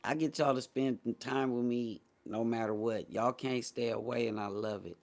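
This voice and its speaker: A man's voice: mid-range voice, fairly even intonation, normal speed, darkish tone. An American man hosting a casual livestream talk show, speaking in a blunt, slangy, dismissive way. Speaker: host of a morning talk show.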